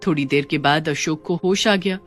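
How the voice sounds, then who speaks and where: A woman's voice: sits low, normal speed, faint music behind. A woman reading scripted narration for a moral story.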